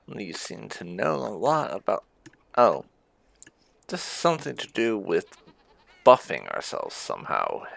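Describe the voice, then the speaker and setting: Low, slow, gravelly male gamer. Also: a bit bright, no room sound. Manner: musing, thinking aloud. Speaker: gaming video host. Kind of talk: casual roleplay playthrough commentary.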